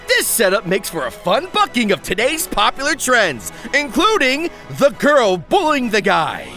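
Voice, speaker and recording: A man hosting an anime review with energetic, scripted comedic narration, delivered in a hammy, exclamatory manner. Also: very high-pitched, a little slow, soft background music. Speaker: YouTube anime reviewer and host.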